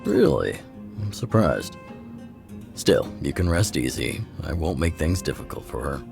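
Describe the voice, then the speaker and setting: A very deep, gravelly older leader. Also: male voice, very animated, a little slow, exaggerated voice. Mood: calm, reassuring. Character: older male underground leader, gruff yet kindly, measured. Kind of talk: video game story dialogue. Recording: clear music under the voice.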